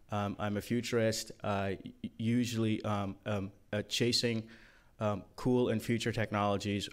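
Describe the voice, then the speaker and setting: A man from Guyana giving a casual, joking talk to a conference room, with many ums and uhs. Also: fairly low pitch. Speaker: conference speaker.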